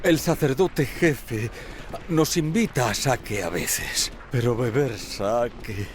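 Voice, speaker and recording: A man voicing a timid, addled villager in a video game, rambling nervously and slowly. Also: mid-range voice, background noise, close to an everyday voice. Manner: slow, nervous, rambling, swooping. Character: timid, addled villager hiding under a basket. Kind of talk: dubbed video game character acting.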